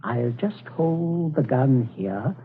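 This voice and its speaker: A man's voice: mid-range voice, animated, takes it slowly. An actor playing a middle-aged, domineering and falsely genial father in a polite, theatrical 1940s radio suspense style. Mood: curt, commanding. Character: domineering, suavely genial, scheming English father. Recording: scratchy, narrow-band 1940s radio recording.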